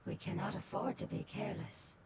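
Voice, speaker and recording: A woman's voice: mid-range voice, a little fast, gravelly, far from the mic, close to an everyday voice. Woman as a whispery, cautious creature, very noisy sound. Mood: grave, cautious. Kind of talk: English game dub acting.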